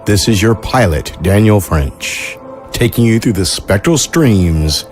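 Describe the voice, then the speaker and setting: A man's voice: on the low side, animated, slow pace, darkish tone. A man hosting an audio fiction show, reading a scripted introduction over music. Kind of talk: scripted narration.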